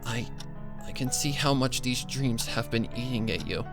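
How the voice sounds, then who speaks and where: A man voicing a shaken but caring female cartoon pony in a story, speaking gently and haltingly. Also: mid-range voice, animated, gravelly. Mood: soothing. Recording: music bed behind the voice.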